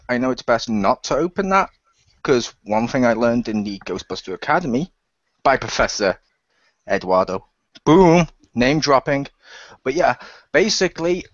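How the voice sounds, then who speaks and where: A man's voice: on the high side, dry recording. A cheeky ghost-hunting academy graduate played by a man in an improvised radio play. He sounds casual and wry, in dry, slightly dull audio. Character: cheeky young male academy graduate seeking work. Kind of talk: improvised role-play dialogue.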